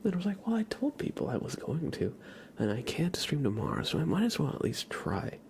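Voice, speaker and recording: A man doing a live ASMR stream, chatting casually with viewers in a soft, breathy whisper and rambling conversationally. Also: a little high, wildly swooping pitch, a little fast, a little hiss or hum. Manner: rambling, conversational, addresses chat by name.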